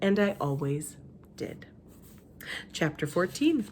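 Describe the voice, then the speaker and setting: Expressive woman reading a children's story. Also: fairly low pitch, takes it slowly, a little hiss or hum. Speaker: teacher reading aloud to children.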